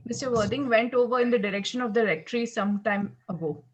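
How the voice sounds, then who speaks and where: A woman reading the part of a deferential household butler in an amateur play reading, with a husky voice. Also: on the high side, fast, low-quality recording. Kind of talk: amateur play table read.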